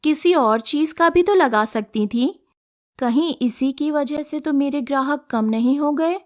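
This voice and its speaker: Cartoon story role: troubled woman vendor, played by a female narrator, high and plaintive, puzzled, slow-paced. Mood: worried. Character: worried, honest woman running a momo cart. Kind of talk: Hindi animated moral story dialogue.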